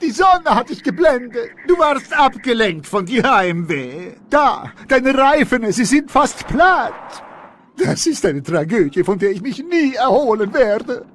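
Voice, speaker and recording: A man voicing a small, excitable fan car in a German-dubbed cartoon, with a melodramatic, pleading manner. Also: very high-pitched, measured pace, full character voice. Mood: pleading, distraught.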